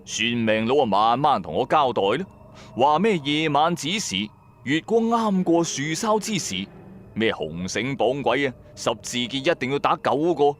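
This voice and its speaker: A man's voice: mid-range voice, sing-song, a little slow, a little hiss or hum. A man narrating a horror story in the first person, in a colloquial, suspenseful way.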